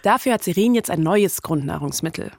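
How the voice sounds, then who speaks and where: A woman's voice: lowish voice, expressive, brisk, creaky, dry recording, studio quality. A woman in her thirties narrating a scripted documentary podcast as its host. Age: 30s.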